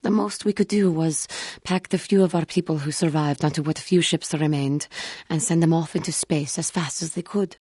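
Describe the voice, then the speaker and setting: Game role: haunted, self-doubting alien warrior woman, low and husky, earnest formal delivery with simmering temper. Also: steady tone, dark tone, dry recording, studio quality. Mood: solemn, haunted. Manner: formal, measured, earnest speech with guarded intensity. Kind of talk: video game character dialogue.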